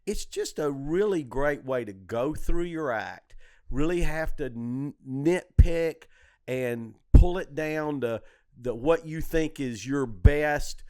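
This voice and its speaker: A man's voice: a little high, a little mellow. A man hosting a podcast in casual conversation, rambling and repeating himself with salty language. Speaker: podcast host. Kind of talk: casual podcast chat about comedy.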